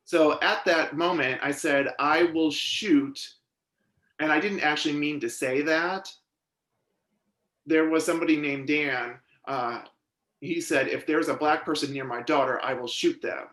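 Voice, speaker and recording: A man interprets a witness's testimony aloud in the first person, keeping a measured, even delivery. His voice sits a little high for a man. The hearing room adds a noticeable echo. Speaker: sign language interpreter voicing a deaf witness.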